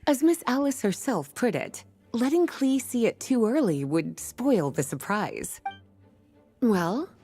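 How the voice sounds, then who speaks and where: A woman voicing a composed, knowledgeable librarian in a video game, explaining things in a measured, storytelling way. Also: fairly high pitch, very animated, dark tone, heavily acted. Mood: calm explaining. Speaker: librarian character. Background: game music.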